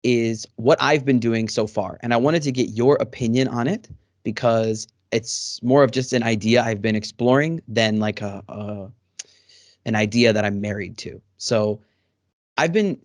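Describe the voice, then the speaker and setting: A man's voice: medium pitch, measured pace, no room sound, studio quality. A man hosting a casual interview over a video call, friendly and rambling with many fillers. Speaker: host. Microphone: studio microphone.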